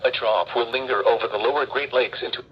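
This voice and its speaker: A man's voice: medium pitch, fairly even intonation, fast talker. A man reading a scripted weather bulletin, heard through a radio speaker. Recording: muffled radio speaker picked up in room, faint noise.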